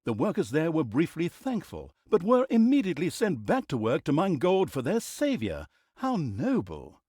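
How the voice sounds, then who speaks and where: Sardonic fantasy game narrator: hugely animated storybook delivery, arch and mock-grand, on the higher side, quick to exasperation. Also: male voice, slightly rough, dry recording, studio quality, heavily acted. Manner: dramatic, tongue-in-cheek, arch delivery.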